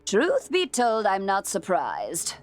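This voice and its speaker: An actress playing a brisk, gossipy, wry outcast alchemy professor in a video game. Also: female voice, medium pitch, huge pitch swings, soft background music. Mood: surprised, gossipy. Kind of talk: video game character dialogue.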